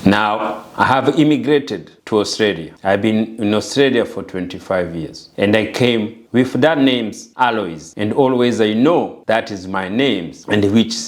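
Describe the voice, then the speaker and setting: Casting: middle-aged man from Rwanda, slightly low voice, earnest and emphatic, repeats lines for weight. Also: unhurried, hardly any pauses. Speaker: vlogger. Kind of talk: personal message to camera.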